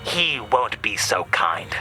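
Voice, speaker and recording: A man's voice: fairly low pitch, very animated, slow pace, sharp tone, soft background music, exaggerated voice, rough recording. A man voicing a sinister, gleeful monster posing as a female teacher, taunting with mock sweetness in a horror audio drama. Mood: gleeful menace.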